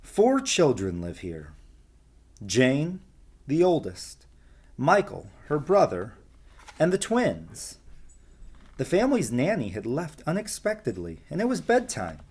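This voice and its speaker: A man reading a storybook aloud to young listeners, expressive, with casual asides spoken straight to them. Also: a little high, takes it slowly, pauses often, slightly rough.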